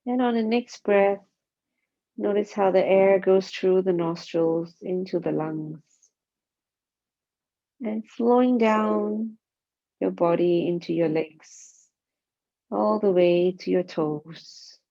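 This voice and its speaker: A woman guides a body scan meditation, speaking calmly and gently in short, spaced phrases. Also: medium pitch, slow pace, vocal fry, pauses often. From Singapore. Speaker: mindfulness meditation teacher. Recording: dull, muffled lo-fi sound.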